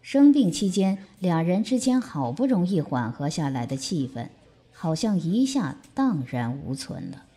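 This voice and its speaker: Very low, husky female narrator. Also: huge pitch swings, unhurried, dark tone. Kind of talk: scripted audio drama narration.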